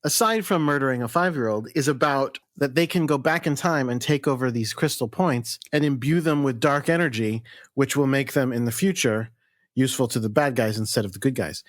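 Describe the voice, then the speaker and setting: Male podcast co-host, mid-pitched voice, measured explainer, dead-dry recording. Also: no room sound. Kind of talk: casual chat.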